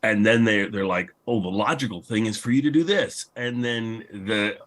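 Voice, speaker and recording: A man with a somewhat low voice moderates a casual discussion. He talks conversationally, thinking aloud as he puzzles through his points. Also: normal speed, a bit bright.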